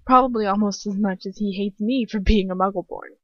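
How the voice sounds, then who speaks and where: A woman's voice: medium pitch, fairly quick, no room sound. Woman reading casually on a dull lo-fi recording. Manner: stumbling over words, self-deprecating. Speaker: amateur fan fiction reader. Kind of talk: casual read-aloud with chatty asides.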